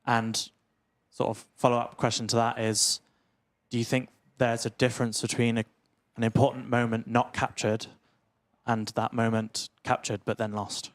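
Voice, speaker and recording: A man in an audience asking an unscripted, thoughtful question, pausing between phrases. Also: mid-range voice, flat delivery. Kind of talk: unscripted question at a public talk.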